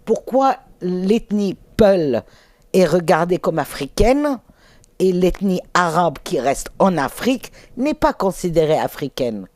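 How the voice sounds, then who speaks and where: A middle-aged woman, Finnish her first language, speaking as an interview guest, reflective and halting with many fillers. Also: on the low side, sing-song, darkish tone, no room sound. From England. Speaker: interview guest, documentary filmmaker.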